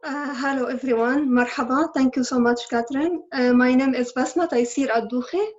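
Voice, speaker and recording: A woman's voice: sits high, steady tone, normal speed, a little mellow, highs cut off. A woman in her thirties whose first language is Arabic, telling her own story earnestly and steadily as a guest in a conversation, often correcting herself mid-phrase. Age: 30s. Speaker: interviewee, refugee graduate student. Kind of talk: online conversation at a public event.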